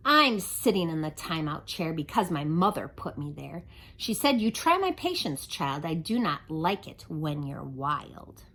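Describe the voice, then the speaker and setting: A woman's voice: deep voice, sing-song, a little slow, a bit bright, a little hiss or hum, exaggerated voice. A woman voicing an indignant little child in a timeout chair, protesting in sing-song rhyme.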